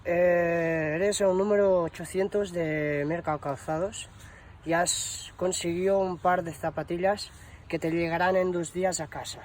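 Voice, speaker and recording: A high-pitched, slow-talking man acting as a bumbling promotions employee. He rambles through a prank phone call with hesitant stammers and casual slang. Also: fairly even intonation, a little hiss or hum. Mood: chatty, hesitant. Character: bumbling shoe shop promotions employee announcing a prize. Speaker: prank caller.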